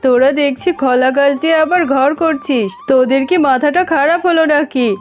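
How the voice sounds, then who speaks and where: A woman's voice: high-pitched, fairly quick, muffled recording, soft background music, natural voice. A woman voicing a brusque, mocking tigress aunt in a cartoon. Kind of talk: cartoon dialogue.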